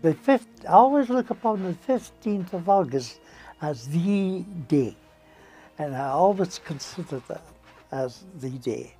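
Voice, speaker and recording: A man's voice: on the high side, animated, a little slow, mellow, slightly rough, soft background music. A man in his nineties, a war veteran, reflecting in an interview. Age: 90s. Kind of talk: interview reminiscence.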